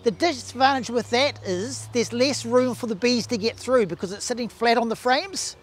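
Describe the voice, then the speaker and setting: High-voiced older man explaining outdoors. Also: normal speed, slight background noise. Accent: New Zealand. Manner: explanatory, with restarts and hand gestures. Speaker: bee scientist. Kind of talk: outdoor demonstration talk. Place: garden outdoors beside beehives.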